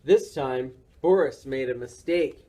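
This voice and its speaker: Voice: medium pitch, a little slow, warm tone. A reader narrating a children's storybook for a library story time.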